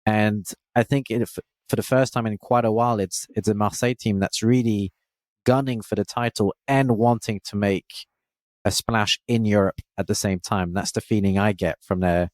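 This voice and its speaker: Low-voiced male football pundit, dry sound. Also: steady tone, moderate pace, no room sound. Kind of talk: podcast panel discussion.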